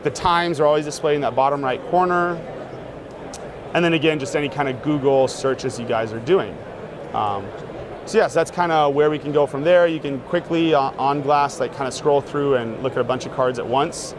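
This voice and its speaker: A man casually demonstrating a gadget in an interview, relaxed and full of fillers. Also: a little high, normal speed. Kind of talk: casual demo talk. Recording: noisy outdoor street sound.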